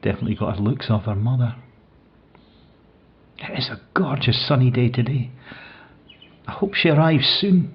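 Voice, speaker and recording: An actor playing an older widowed man in an audio play, grieving and tender, speaking in a hushed, halting, reflective way with a husky voice. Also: medium pitch, animated, lo-fi sound. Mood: wistful, tender. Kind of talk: audio play dramatic monologue.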